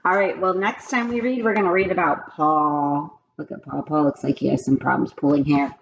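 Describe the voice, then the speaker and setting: A woman reading a storybook aloud to children as a teacher, with expressive, simple phrasing. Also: low-pitched, normal speed, gravelly, lo-fi sound, a little hiss or hum.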